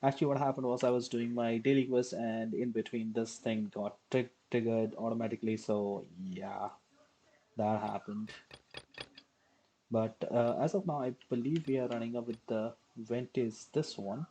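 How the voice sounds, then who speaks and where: Very low, mellow woman game streamer. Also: fairly even intonation, normal speed, a little hiss or hum, low-quality recording. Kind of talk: casual live gameplay commentary. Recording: faint game music behind.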